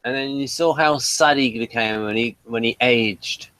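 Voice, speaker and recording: A man's voice: medium pitch, normal speed, sharp tone, no echo. A man hosting a casual review discussion, moderating and moving things along. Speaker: podcast host.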